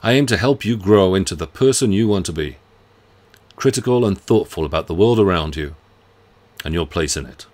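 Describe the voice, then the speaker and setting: A middle-aged man, a university professor reading a measured, earnest self-introduction to students from his home study. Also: deep voice, animated, darkish tone. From United Kingdom. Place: home study lined with bookshelves.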